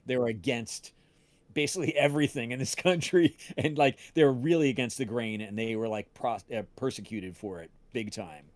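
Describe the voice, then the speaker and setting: A man's voice: mid-range voice, fairly quick, dry recording. Man rambling through a casual story. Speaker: podcast cast member on a livestream. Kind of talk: casual chat, rambling anecdote.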